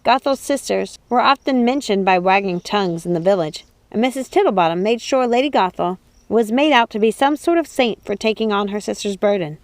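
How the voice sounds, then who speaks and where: A woman's voice: medium pitch, expressive, brisk, no room sound. A woman reading scripted storybook narration as an audiobook narrator.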